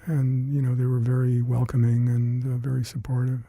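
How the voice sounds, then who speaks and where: Older male interviewee whose first language is Hungarian: gravelly, husky, reflective. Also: mid-range voice, completely flat delivery, warm tone, no echo. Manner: halting, reflective, many fillers and restarts. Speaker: interviewee, retired scientist. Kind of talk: oral history interview.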